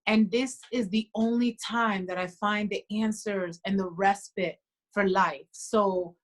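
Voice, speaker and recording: A woman in her forties, a podcast guest, talking earnestly and reflectively with quiet conviction. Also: medium pitch, steady tone, measured pace. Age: 40s. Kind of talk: casual conversation.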